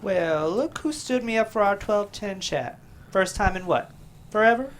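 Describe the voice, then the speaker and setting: A man's voice: high voice, animated, moderate pace, a little hiss or hum. A man acting in a table read as an overly cheerful small-town buddy who is hiding something, eager, stammering and evasive. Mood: incredulous, joking.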